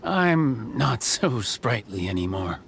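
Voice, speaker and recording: An actor voicing an aging, mild-mannered male village carpenter in a video game, hesitant and halting. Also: mid-range voice, very animated, gravelly, background noise, heavily acted. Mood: weary, apologetic. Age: older.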